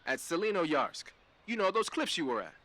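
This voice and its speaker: A man's voice: a little high, big pitch swings, a little fast, bright, forceful tone, heavily acted. A man playing a talkative male radio support tech in a game cutscene, with casual, slangy banter. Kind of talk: video game cutscene acting.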